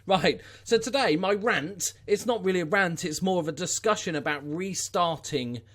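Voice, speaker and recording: Man, Scouse accent, fairly high-pitched, casual vlog host, unscripted, informal, wry. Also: moderate pace, a bit bright. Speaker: YouTube channel host.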